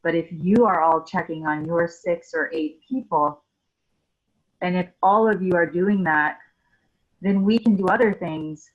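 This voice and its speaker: A woman with a lowish voice explains her point candidly to the group. The call audio is slightly dull and lo-fi. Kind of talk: casual group-call remarks.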